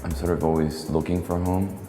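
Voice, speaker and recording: A soft-spoken man who grew up in Hong Kong, a photographer reflecting slowly and haltingly in a documentary interview. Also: deep voice, little pitch movement. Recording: roomy echo with long reverb and faint music.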